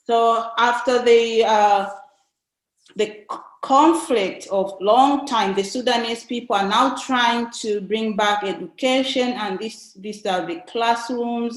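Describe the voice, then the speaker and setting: A young woman with a Tanzanian accent gives an informative presentation in a halting way, often pausing and repeating words. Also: on the high side. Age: young adult. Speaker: invited speaker, nun. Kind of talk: informative slide presentation talk. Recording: echoey, roomy sound.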